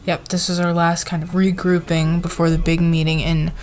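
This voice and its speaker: A woman playing a friendly, encouraging boss in an ASMR roleplay, talking to a team in a halting, soft-spoken office manner. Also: lowish voice, level tone, natural voice. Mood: appreciative, encouraging.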